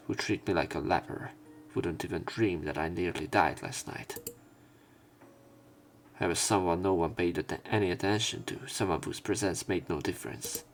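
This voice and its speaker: A man's voice: sits low, vocal fry, a little hiss or hum. A man reading visual novel narration aloud in a subdued way, as a let's player.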